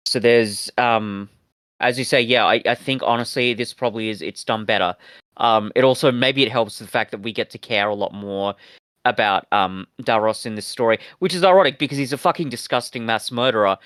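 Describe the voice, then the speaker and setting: A man co-hosting a review podcast in casual discussion, talking haltingly with a wry, sweary manner. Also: mid-range voice, a bit bright, clean tone, dry recording. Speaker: podcast co-host reviewing audio dramas.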